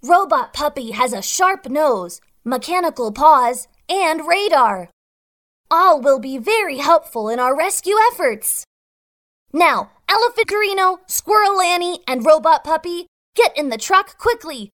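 A woman's voice: high voice, expressive, measured pace, fairly bright tone. A woman voicing a commanding giraffe who captains a rescue team in a children's story. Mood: instructing. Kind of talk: children's animated story acting.

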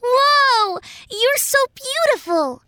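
A woman voicing an earnest, gentle little boy prince from another planet in a children's fairy tale. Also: very high-pitched, expressive, very slow, dry recording, clean studio sound, full character voice. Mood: eager.

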